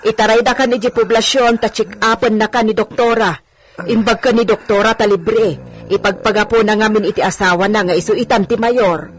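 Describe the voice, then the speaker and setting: A woman's voice: mid-range voice, fairly even intonation, fairly quick, natural voice. A woman playing a chatty, teasing housemaid in an audio drama, talking in a familiar, advising way.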